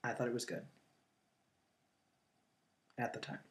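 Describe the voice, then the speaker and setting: A man in his late twenties, co-hosting a casual chat and talking in a relaxed way with many fillers and pauses. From northeastern Ohio. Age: 20s. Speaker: co-host.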